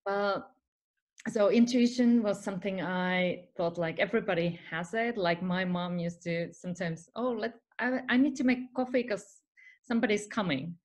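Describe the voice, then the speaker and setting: A woman's voice: medium pitch, moderate pace. A woman from Finland with a Finnish accent, talking earnestly as a podcast guest and laughing often. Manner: earnest, laughs often, non-native phrasing. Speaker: guest, spiritual mentor. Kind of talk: casual podcast interview.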